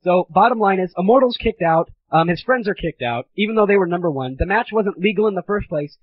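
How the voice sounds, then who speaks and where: A man hosting a gaming audio show, delivering a belligerent, sarcastic, profane comedic rant in a husky voice. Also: high-pitched, animated, a little fast. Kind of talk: ranting comedic monologue. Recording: dull lo-fi 1990s amateur recording.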